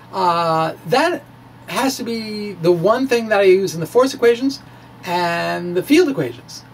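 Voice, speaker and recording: Middle-aged man, noisy home recording. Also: sits high. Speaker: science video blogger. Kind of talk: informal physics explanation to camera. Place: small indoor room with bookshelves. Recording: clear steady background noise.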